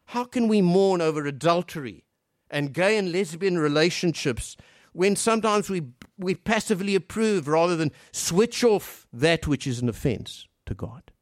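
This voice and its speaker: A man from Africa preaching a sermon earnestly, posing rhetorical questions and swinging between hushed and emphatic delivery. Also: high-pitched, expressive, moderate pace, no room sound, clean studio sound.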